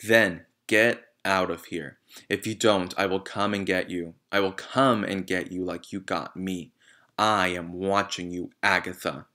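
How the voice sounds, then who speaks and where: A man voicing a menacing male ghost in a storybook, slow, deliberate and commanding. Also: low-pitched, steady tone, bright, forceful tone. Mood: slow menace.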